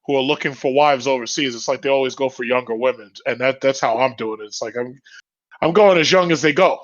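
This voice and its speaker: A man hosting a casual podcast, agreeable and quick with affirmations. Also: mid-range voice. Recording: dull lo-fi audio.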